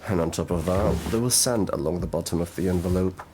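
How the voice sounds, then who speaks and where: A man playing a gruff, sardonic detective in an audio roleplay drama, drawling and murmuring through his pauses. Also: deep voice, background noise, natural voice. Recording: music behind the voice.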